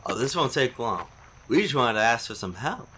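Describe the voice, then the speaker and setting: A man's voice: medium pitch, very animated, normal speed, pushed and bright, slight background noise. A man playing a sneering young thug sidekick with a jumpy, mocking way of talking.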